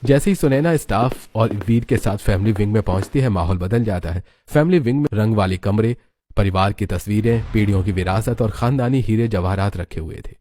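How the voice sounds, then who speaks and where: A male storyteller with a fairly low voice reads scripted narration. He describes scenes in the manner of a moral tale. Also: a little fast, dark tone, a little hiss or hum.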